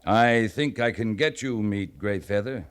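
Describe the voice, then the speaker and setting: Man acting a measured, low-voiced cavalry captain. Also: smooth, natural voice. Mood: firm, persuasive. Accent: American. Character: resolute, plain-spoken U.S. Army cavalry captain in the Old West. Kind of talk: old-time radio western acting. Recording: vintage radio drama recording.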